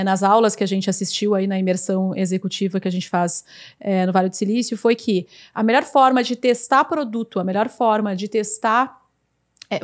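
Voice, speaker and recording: A woman hosting a casual podcast, chatty and quick, peppering her talk with filler tags. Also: mid-range voice. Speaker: host.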